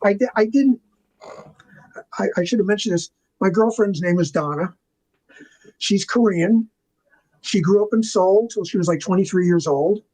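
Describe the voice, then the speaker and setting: A man's voice: sits high, normal speed, long pauses, darkish tone, low-quality recording. An older man talking casually as an interview guest, telling stories enthusiastically and wandering into asides. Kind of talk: casual chat, storytelling.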